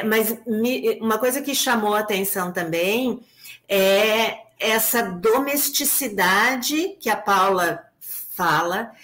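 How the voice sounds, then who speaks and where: A Brazilian woman speaking as an academic commentator in an online debate, reflective and analytical, pausing often and checking in with short tag questions. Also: mid-range voice. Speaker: academic commentator on a research paper.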